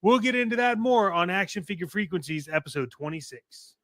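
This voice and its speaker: Male host, high register, chatty showman, dry recording, casual podcast delivery. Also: animated, brisk, fairly bright tone, no room sound. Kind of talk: casual podcast chat with sponsor reads.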